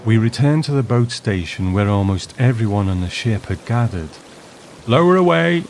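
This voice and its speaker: A man's voice: lowish voice, moderate pace, background noise. A man hosting and narrating from a script, reviewing and reading a story aloud. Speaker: host and narrator. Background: music.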